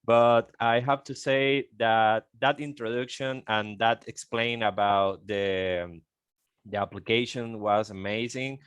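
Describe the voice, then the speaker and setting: A man in his thirties from Venezuela with a Venezuelan Spanish accent, a native Spanish speaker presenting on an online call, halting and tagging "okay?" often. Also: fairly low pitch, fairly even intonation, a bit bright, clear, resonant tone, no echo. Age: 30s. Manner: halting, repeats words, frequent "okay?" tags.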